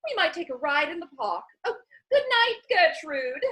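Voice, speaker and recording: A woman plays a breezy society lady in a period comedy. Her voice is extremely high and lilting, swinging widely as she gushes theatrically. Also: big pitch swings, normal speed, pushed and bright, full character voice. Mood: breezy, gushing. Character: breezy, gushing female high-society socialite. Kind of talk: dramatic reading of a period stage comedy.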